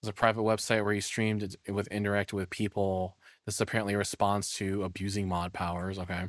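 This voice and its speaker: A man's voice: lowish voice, level tone, fairly quick, dry recording, clean studio sound. A man giving casual reaction commentary as a streamer, rambling, opinionated and free with swearing.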